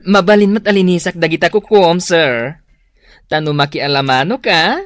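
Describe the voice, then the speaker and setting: A woman's voice: fairly low pitch, moderate pace, no room sound, studio quality, lightly acted. A woman acting a chummy, eager-to-please parlor worker in a radio drama, with a Filipino accent.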